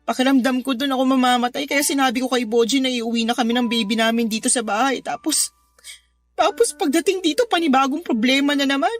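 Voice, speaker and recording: A woman's voice: sits high, fairly even intonation, fast, soft background music, lightly acted. An actress in a radio drama playing a tired, tearful young mother who complains plaintively about her hard life. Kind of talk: Tagalog radio drama acting.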